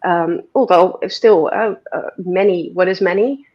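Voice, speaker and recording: A woman's voice: fairly low pitch, unhurried, dull audio. A woman with a Dutch accent, chatting as an interview guest, rambling with many fillers and restarts. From Netherlands. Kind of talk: casual interview conversation.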